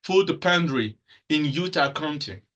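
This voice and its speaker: A young man from the Republic of Congo, a student speaker, giving a prepared formal speech in a measured way with occasional restarts. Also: on the high side, animated, a bit bright, lo-fi sound.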